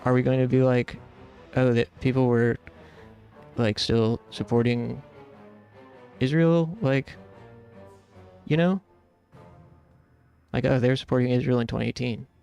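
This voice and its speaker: A man's voice: medium pitch, steady tone, slow pace, creaky, long pauses, warm tone, smooth, soft background music. A man in his thirties from the United States, chatting casually and earnestly with stream viewers, rambling with lots of fillers. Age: 30s.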